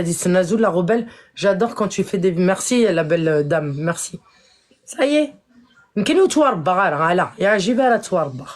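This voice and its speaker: A heated woman streamer jumps up and down in pitch as she scolds the people watching her live. She turns confrontational and indignant, firing back at viewers one moment and muttering the next. Her talk is unscripted and spoken straight to her audience. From France. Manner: indignant, confrontational, swings between shouting and muttering; addresses viewers directly, scolds commenters.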